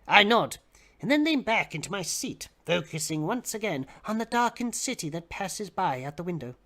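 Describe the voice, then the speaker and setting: A man giving a theatrical, expressive dramatic reading of fiction as the narrator. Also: high voice, moderate pace. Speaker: dramatic reader.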